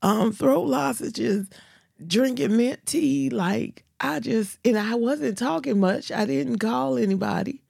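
A woman with a naturally deep voice, hoarse from losing it, chatting as a podcast co-host in a lively, joking way. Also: slow pace, no room sound, studio quality. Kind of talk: casual chat.

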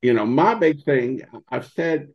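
A man speaking as a podcast guest in casual interview chat, halting as he thinks aloud. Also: on the high side, lively intonation, lo-fi sound, slight background noise. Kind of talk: casual interview chat about old radio shows.

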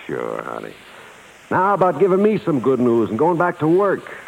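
Swooping, stammering showbiz agent. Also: male voice, on the high side, huge pitch swings, moderate pace, gravelly, exaggerated voice. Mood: hesitant, coaxing. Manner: stammering, hesitant. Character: flustered, devoted male showbiz agent, breezy and nervous. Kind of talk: 1950s radio drama acting. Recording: crackly 1950s radio broadcast.

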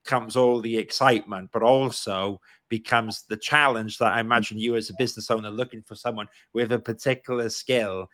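Welsh male host, live interview, low-pitched, dry close sound. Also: fairly even intonation, a little fast, hardly any pauses, fairly bright tone, no room sound. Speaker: podcast host.

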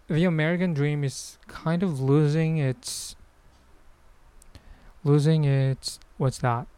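A man's voice: a little high, warm tone, clean tone, no echo. A man with a Filipino accent hosting a casual solo podcast, answering questions in a hesitant, rambling way as he thinks aloud. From Philippines. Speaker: podcast host.

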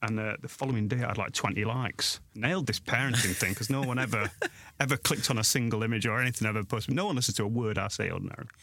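A man's voice: fairly low pitch, quick pace, a bit bright, dry recording. Joking South Yorkshire man, dry close sound. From Sheffield, England. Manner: self-deprecating, jokey, rambling with restarts. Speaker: guest author and blogger. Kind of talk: casual interview chat.

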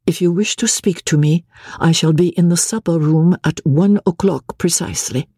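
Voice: mid-range voice, normal speed, dark tone, husky, no echo, studio quality, voice pushed up. A reader voicing a proud, tired young noblewoman who sounds strained and pleading, raised above the narrating voice. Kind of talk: audiobook dialogue.

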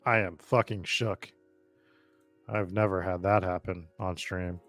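A man's voice: low voice, fairly even intonation, measured pace, a bit bright, faint music behind. An American man from the Boston area chatting informally and swearing freely as he hosts a casual live draft stream commentary. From Boston area, United States. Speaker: host of a fantasy football draft stream.

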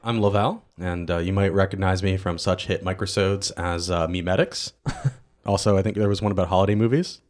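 Man, deep pitch, casual panel chat, hesitant, meandering delivery. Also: brisk.

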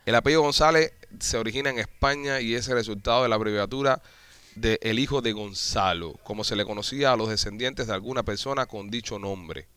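A man's voice: on the low side, brisk, a bit bright, clear, resonant tone. A man hosting a casual podcast chat, joking with friends and reading surname origins aloud. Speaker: podcast host.

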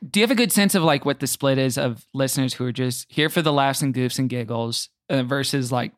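A man's voice: mid-range voice, brisk, no echo, clean studio sound. A man with an American accent chatting casually and jokingly as a guest on a comedy podcast. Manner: playful, joking.

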